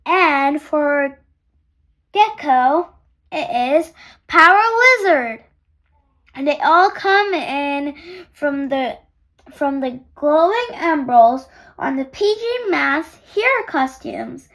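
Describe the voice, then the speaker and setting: A young girl reading a children's story aloud in a halting, sing-song way, putting questions to young listeners. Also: sits high, lively intonation, fairly bright tone.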